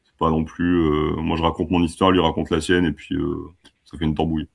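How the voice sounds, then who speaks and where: A man's voice: rumbling low, level tone, brisk, gravelly. A man in his twenties from France, a band member chatting casually and laughing easily in an interview. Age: 20s. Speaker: band musician.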